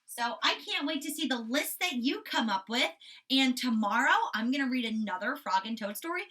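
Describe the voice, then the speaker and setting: A woman's voice: sits high, expressive, normal speed, sharp tone, distant mic. A woman teaching young children through a read-aloud, explaining simply and expressively and checking in with questions.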